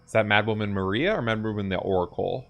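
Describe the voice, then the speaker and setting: A man's voice: on the low side, fast talker, vocal fry, soft background music. A man hosting a reaction video, commenting casually on game cutscenes and thinking aloud informally. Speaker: gaming reaction host.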